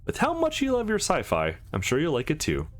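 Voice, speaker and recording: A man's voice: on the high side, wildly swooping pitch, fairly quick, pitched above the performer's own voice. A man voicing an easygoing, affectionate young man in a visual novel, casual and teasing, with music behind. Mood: keen.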